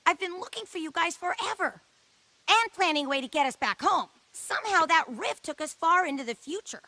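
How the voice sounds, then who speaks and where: An actress playing a smart, earnest young mechanic in a video game cutscene. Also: female voice, very high-pitched, animated, a little fast, pushed and bright, no room sound, heavily acted. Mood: eager.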